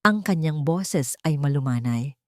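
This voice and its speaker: A woman reading a romantic drama story in a steady, dramatic storytelling manner. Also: low voice, unhurried, no room sound, studio quality. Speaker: narrator. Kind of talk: scripted story narration.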